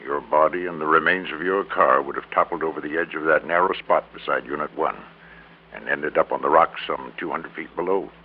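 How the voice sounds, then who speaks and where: An actor playing a formal, self-important businessman of about sixty, speaking deliberately and grandly in classic radio drama style. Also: very deep voice. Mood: grave. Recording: tinny, faintly noisy vintage radio recording.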